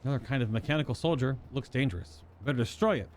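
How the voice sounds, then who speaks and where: A man's voice: medium pitch, very fast, slight background noise. A man live-streaming a game, reading story dialogue aloud in character and now and then stumbling over invented names. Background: game music.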